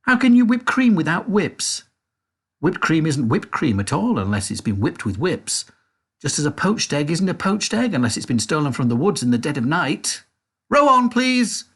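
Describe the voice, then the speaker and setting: An excitable, eccentric factory owner, put on by a male narrator, barking orders in a voice pitched well above the reader's own. He sounds husky and swings wildly up and down, gleeful and bossy at once, like a storybook showman. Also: moderate pace, exaggerated voice. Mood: impatient.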